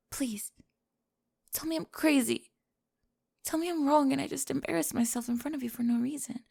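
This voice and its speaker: A woman playing a remorseful, lovestruck high school cheerleader in an ASMR roleplay, breathy and hesitant, often dropping to a whisper. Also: mellow, full character voice. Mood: nervous, stunned. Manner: hesitant, emotional, confessional. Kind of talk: ASMR romantic roleplay monologue.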